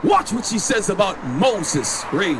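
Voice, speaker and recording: High-voiced man preaching on a loud street. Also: very animated, unhurried. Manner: insistent, instructs a reader to read. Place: city street outdoors. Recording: loud street traffic behind. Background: passing vehicles.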